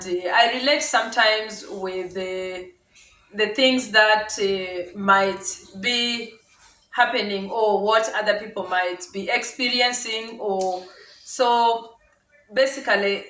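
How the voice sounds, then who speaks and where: A middle-aged woman from Uganda telling her life story on her vlog, speaking slowly with many pauses and fillers while cooking in her home kitchen. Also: mid-range voice, pushed and bright. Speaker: vlogger. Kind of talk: casual personal storytelling. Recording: echoey kitchen, voice from a distance.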